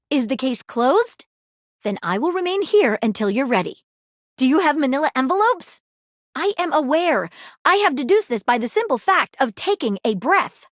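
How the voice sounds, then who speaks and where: A flirty, nosy magnifying-glass sleuth with a lilt. Her voice sits fairly high and leaps around with drama and play. It sounds close and dry, with no room around it. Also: no room sound, heavily acted. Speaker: dateable game character. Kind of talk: video game voice lines. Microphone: close studio mic.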